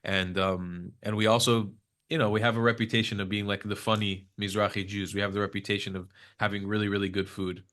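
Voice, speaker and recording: Young man, Hebrew first language, lowish voice, loose rambling guest chatter. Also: little pitch movement, a little fast, fairly bright tone. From United States. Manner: rambling, many fillers and restarts. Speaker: podcast guest. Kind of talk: casual conversational interview. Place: Israel.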